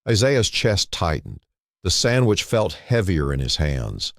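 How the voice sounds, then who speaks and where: Very deep, slow male storyteller. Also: big pitch swings, gravelly, dry recording, studio quality. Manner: short clipped phrases with dramatic pauses. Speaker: story narrator. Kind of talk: scripted dramatic storytelling narration.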